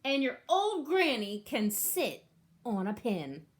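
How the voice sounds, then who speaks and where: A woman voicing a rude, irritable male little pig who yells curtly in a children's storybook. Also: on the high side, big pitch swings, slow pace. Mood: rude, angry. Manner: loud, curt shouting. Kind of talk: children's storybook read-aloud.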